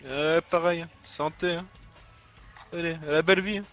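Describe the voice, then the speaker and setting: A man's voice: fairly high pitch, steady tone, moderate pace, a bit bright, lo-fi sound. A man in casual improvised game roleplay, talking in a slangy, exclamatory way. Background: music.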